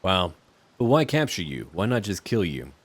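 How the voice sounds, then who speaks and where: Deep-voiced man casually playing a game. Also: big pitch swings, normal speed. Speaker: gamer playing through a role-playing game. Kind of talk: casual game commentary, speaking dialogue choices aloud.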